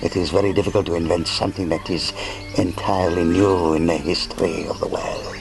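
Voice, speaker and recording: Megalomaniac lord, creaky low voice, pompous, measured, self-pitying, radio play, music underneath. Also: male voice, flat delivery. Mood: cold, self-satisfied. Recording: music behind the voice.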